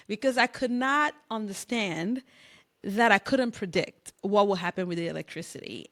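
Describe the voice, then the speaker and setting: A middle-aged woman from Cameroon talks straight to camera as a business coach, chatty and lively. Her voice sits at a middle pitch. She laughs now and then. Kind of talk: casual talk to camera.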